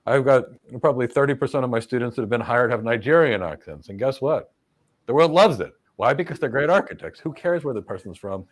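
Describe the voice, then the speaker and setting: A man's voice: mid-range voice, animated, a little fast. An American man hosting a live career Q&A as a cloud career coach, answering viewer questions emphatically with blunt one-word verdicts. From United States.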